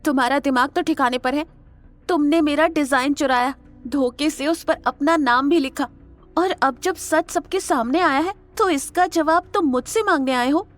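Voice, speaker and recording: An actress playing a spirited young professional woman in an audio drama, emphatic and expressive. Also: high-pitched, normal speed, soft background music, close to an everyday voice. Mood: indignant. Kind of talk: Hindi audio drama acting.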